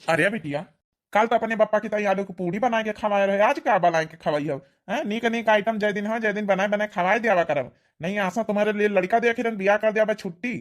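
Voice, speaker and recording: A mild village father from a cartoon comedy, acted by a man talks in an unusually high voice. He has an Awadhi accent. His manner is homely and coaxing, that of a dad who indulges his grown child. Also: brisk, natural voice. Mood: coaxing, fond. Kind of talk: cartoon comedy dialect acting.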